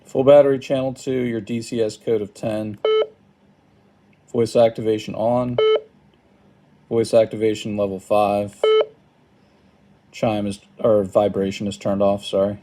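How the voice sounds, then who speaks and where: A man giving a casual step-by-step how-to, halting and thinking aloud, recorded indoors at a tabletop. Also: on the low side, level tone. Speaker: product tutorial presenter. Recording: clearly noisy home recording, button clicks.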